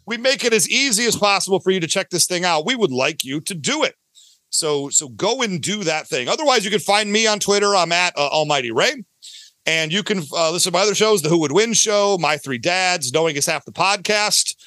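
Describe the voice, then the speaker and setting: A man's voice: high-pitched, animated, brisk, bright, forceful tone, no echo. A talkative, enthusiastic man chatting casually as a podcast guest, promoting his own work in a self-deprecating way. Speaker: podcast guest, audio drama creator.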